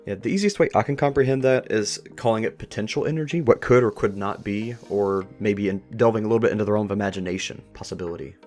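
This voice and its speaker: A man's voice: fairly low pitch, fast talker, few pauses, a little mellow, soft background music. A man hosting a thoughtful interview conversation, speaking in a reflective, wordy way as he talks ideas through. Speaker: podcast host interviewing creators.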